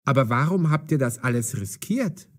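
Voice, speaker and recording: An actor playing a police detective in an audio drama, commanding and mocking but fair. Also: male voice, mid-range voice, lively intonation, moderate pace, lightly acted. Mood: matter-of-fact. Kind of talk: audio drama for language learners.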